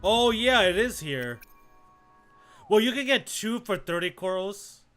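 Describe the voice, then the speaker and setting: A man's voice: sits high, lively intonation, a little slow, a bit bright. A man livestreaming a game, chatting casually with viewers in slangy, repetitive, think-aloud commentary. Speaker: game streamer. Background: game music.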